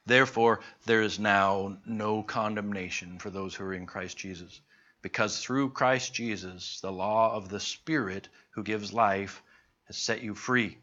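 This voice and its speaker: A man teaching a Bible class in an earnest, conversational way, slowing down to stress his points. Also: lowish voice, fairly even intonation, bright, forceful tone. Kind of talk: sermon-style Bible teaching. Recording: dull lo-fi recording.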